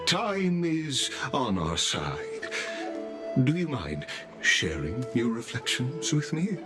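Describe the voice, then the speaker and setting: A man's voice: mid-range voice, very animated, slow pace. An actor voicing a courteous, ceremonious male envoy who speaks in a genteel, formal way and chuckles often, in a game cutscene. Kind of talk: studio game voice acting. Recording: game cutscene with music behind.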